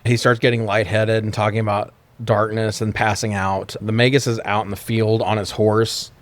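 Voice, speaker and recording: A man's voice: on the low side, level tone, moderate pace, no room sound. A man co-hosting a casual, comedic movie recap podcast with an irreverent, heavily profane way of talking. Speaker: podcast co-host.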